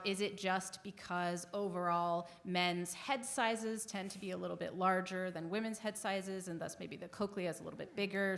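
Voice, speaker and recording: Woman panelist explaining science to a lay audience, middle pitch. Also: fairly bright tone. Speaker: panelist, hearing researcher. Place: auditorium stage.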